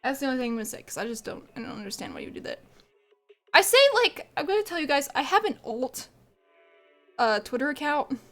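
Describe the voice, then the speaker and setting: A woman's voice: high voice, wildly swooping pitch, quick pace, a bit bright, faint music behind. A woman streamer chatting casually with her viewers, stuffed up from a cold and allergies, rambling and laughing often. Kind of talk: casual livestream chat with viewers.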